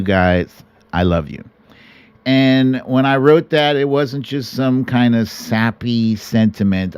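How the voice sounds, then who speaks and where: Middle-aged male livestream host, slightly low voice, unhurried delivery, casual and earnest storyteller. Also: darkish tone, dry recording. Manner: chatty, earnest, chuckles and quotes himself animatedly. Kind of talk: casual livestream chat to followers, telling stories and reading comments.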